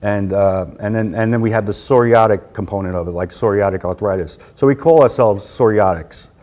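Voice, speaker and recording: A man's voice: on the low side, a little fast, darkish tone. A middle-aged man from New York, a patient advocate chatting casually as an interview guest, with lots of fillers and easy laughs, on a lapel mic in a conference room.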